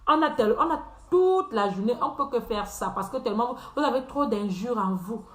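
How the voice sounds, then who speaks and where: High-voiced woman ranting with laughter. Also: expressive, a little fast, a bit bright. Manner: teasing, laughs often, repeats phrases. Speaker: live stream host. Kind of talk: mocking live-stream rant. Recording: echoey room.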